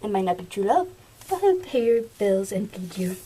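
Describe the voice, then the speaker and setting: A woman's voice: mid-range voice, very animated, moderate pace, mellow, slightly rough, pitched below the performer's own voice, rough recording. A woman voicing a practical, pushy, motherly old mouse in a storybook puppet show, coaxing and insistent.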